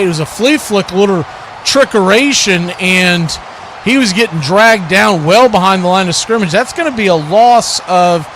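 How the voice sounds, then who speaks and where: A man with a high, forceful voice gives live American football commentary in short, excitable bursts. He calls each play as it unfolds, over some faint background noise. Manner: excitable, punchy clipped phrases. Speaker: play-by-play commentator on a livestream watch party.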